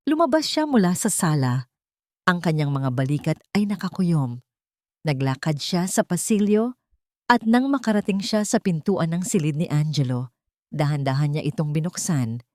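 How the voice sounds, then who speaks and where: A woman storyteller reading a scripted, dramatic narration with measured phrasing. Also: on the low side, dark tone, no room sound, studio quality.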